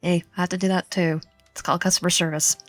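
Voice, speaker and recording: A woman reads a visual novel aloud for a gaming video. Her delivery is halting, with stumbles that she corrects and casual asides of her own. Music plays behind her voice. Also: lowish voice, level tone, no echo. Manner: halting reading with frequent stumbles and self-corrections. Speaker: video game streamer reading aloud. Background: background music.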